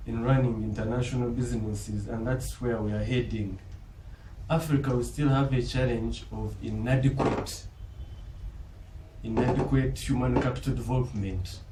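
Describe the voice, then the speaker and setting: A man with a Ugandan accent giving a formal, earnest, deliberate self-introduction as a business school applicant. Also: medium pitch, mellow. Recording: roomy, distant mic, faint background noise.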